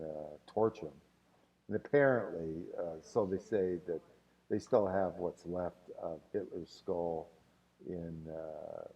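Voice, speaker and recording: A man's voice: sits low, husky, far from the mic, dull audio. A man giving a casual, rambling history lesson on a live stream, answering viewer questions with many filler words. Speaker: history teacher.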